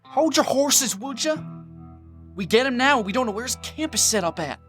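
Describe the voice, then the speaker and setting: An actor playing a scheming, bossy American bandit in an audio drama, with an impatient folksy frontier drawl. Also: male voice, extremely high pitch, animated, moderate pace, a bit bright, faint music behind. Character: scheming, bossy, dim-witted bandit brother, male.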